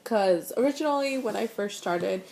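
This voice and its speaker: A woman's voice: medium pitch, expressive, normal speed, vocal fry, darkish tone, a little hiss or hum, low-quality recording. A young woman with a stuffy, sniffly nose chatting casually at home as a vlogger, rambling with fillers and restarts. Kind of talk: casual chat, reaction review. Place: living room at home, indoors.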